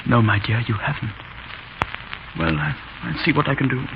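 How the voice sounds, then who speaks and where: A dull, noisy 1930s radio recording carries an actor's performance of a formal English schoolmaster. He is kindly and shy, speaking with hesitations and dry humour in a middling male pitch. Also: expressive, moderate pace, natural voice. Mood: stern, relenting. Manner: hesitant, formal, dryly humorous. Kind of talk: 1930s radio drama acting.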